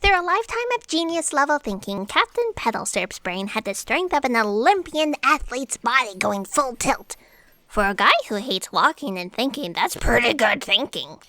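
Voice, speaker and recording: Woman acting a bright, high, perky bureaucrat. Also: big pitch swings, moderate pace, no room sound, full character voice. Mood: impressed. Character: perky, easily offended female bureaucrat at destiny's office. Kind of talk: comic audio drama.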